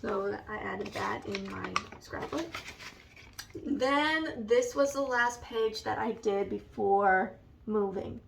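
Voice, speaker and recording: A woman with a raspy, allergy-hoarse voice, slowly and hesitantly showing a scrapbook in a casual vlog. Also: mid-range voice, distant mic, a little hiss or hum. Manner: slow and hesitant, many ums. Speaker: vlogger. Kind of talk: casual show-and-tell vlog.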